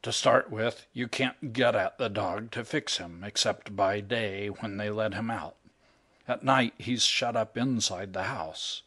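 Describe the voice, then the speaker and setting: A man's voice: lowish voice, fairly bright tone. A man voicing a shy, blunt burglar speaking in plain, common speech.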